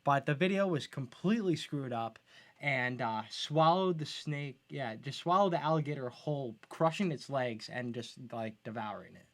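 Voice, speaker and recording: A man co-hosting a podcast in casual, informal chat, agreeable and quick to interject. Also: medium pitch, expressive. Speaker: podcast co-host.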